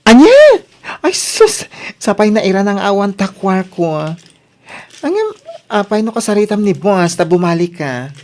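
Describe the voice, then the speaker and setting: A woman's voice: mid-range voice, very animated, unhurried, darkish tone. A woman with an Ilocano accent acting a brash, casual friend in a radio drama. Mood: shocked. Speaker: drama voice actor.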